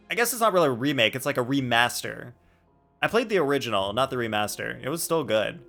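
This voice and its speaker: Animated man chatting on a game stream. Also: mid-range voice. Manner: rambling, musing aloud. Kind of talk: casual live-stream chat and commentary.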